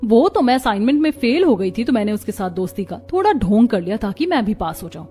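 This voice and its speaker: A woman's voice: fairly high pitch, sing-song, quick pace, dark tone, soft background music. A woman playing a spoiled, breezy young rich college girl in a cartoon story. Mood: defensive.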